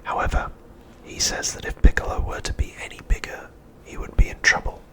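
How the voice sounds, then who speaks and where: A man narrating a scripted recap for ASMR in a breathy, unvoiced whisper, with a hushed, measured delivery. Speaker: ASMR host.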